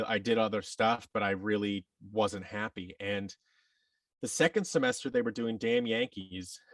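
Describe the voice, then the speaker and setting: Low-voiced man, slightly distant, reflective storyteller. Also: gravelly, fairly bright tone, no echo. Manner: reflective, halting, often acts out quoted dialogue. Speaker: podcast guest, actor and audio drama producer. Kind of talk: casual interview storytelling.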